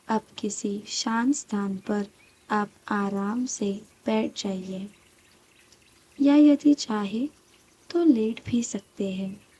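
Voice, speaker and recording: A woman guiding a scripted meditation in a soft, breathy voice, calm and soothing, with long pauses between short phrases. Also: medium pitch. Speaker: meditation guide. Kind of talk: slow guided meditation, scripted.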